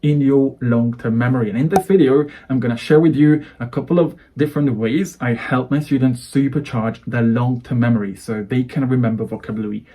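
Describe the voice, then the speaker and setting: A man's voice: mid-range voice, steady tone, fairly quick, few pauses, mellow. Hungarian-accented man coaching English. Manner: earnest, persuasive, builds lists of phrases. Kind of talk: talking-to-camera lesson.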